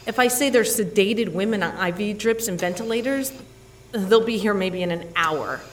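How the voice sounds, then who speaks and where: A woman's voice: mid-range voice, moderate pace, slight background noise, close to an everyday voice. An actress playing a determined woman doctor in an audio drama, switching between narration and urgent, emotive dialogue. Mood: composed, resolute. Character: determined, bossy woman doctor and scientist with powers. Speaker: narrator and lead actor in a fiction podcast.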